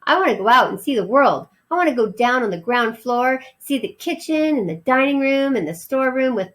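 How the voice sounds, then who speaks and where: A woman's voice: sits high, animated, fairly quick, fairly bright tone, pitched above the performer's own voice. A woman voicing a stubborn young boy mouse, eager for adventure, with a stout, defiant manner in a storybook.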